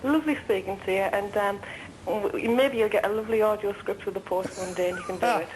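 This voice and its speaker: A woman's voice: medium pitch, fast, darkish tone, low-quality recording. A woman with a mid-range voice calls in to a live television show. She speaks as an eager, gushing fan asking her questions. A faint hiss of noise runs behind her. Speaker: phone-in caller. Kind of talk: eager phone-in call.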